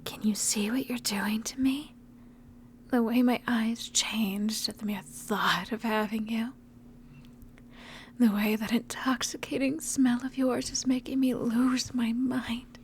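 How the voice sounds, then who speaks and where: A woman voicing a seductive, predatory, ancient vampire in a breathy, intimate whispered ASMR roleplay. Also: fairly high pitch, steady tone, a little hiss or hum. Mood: seductive menace. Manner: slow, intimate, menacing endearments.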